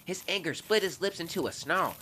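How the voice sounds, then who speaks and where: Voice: mid-range voice, expressive, fairly quick, sharp tone, slight background noise, poor audio. An actor voicing a young boy who earnestly narrates events into his journal in a scripted audio drama. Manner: earnest, confiding, storytelling.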